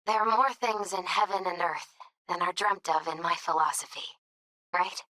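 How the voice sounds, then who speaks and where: A woman playing a gentle, earnest young tarot reader in a video game, with thoughtful, hesitant phrasing. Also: on the low side, fairly even intonation, normal speed, no room sound, poor audio. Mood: concerned, encouraging. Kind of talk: naturalistic video game dialogue acting.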